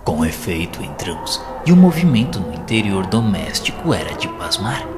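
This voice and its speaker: A Brazilian man playing a solemn spirit narrator who recounts his journey with compassion in measured, literary audio-drama narration. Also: low-pitched, big pitch swings. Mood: grave, descriptive. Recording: long hall reverb, music underneath. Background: clear music.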